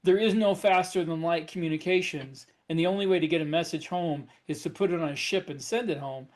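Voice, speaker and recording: Blunt man with a higher voice. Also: fairly quick. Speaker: podcast co-host, author. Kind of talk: casual panel chat.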